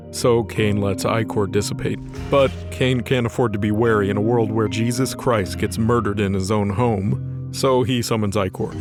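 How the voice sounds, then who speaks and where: A man narrating scripted dramatic fiction as the storyteller of an audio drama. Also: lowish voice.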